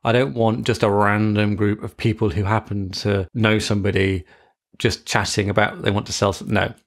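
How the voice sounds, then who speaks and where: A man's voice: fairly low pitch, level tone, moderate pace. A man co-hosting a podcast, chatting casually with emphatic, opinionated delivery. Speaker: podcast co-host. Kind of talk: casual panel chat.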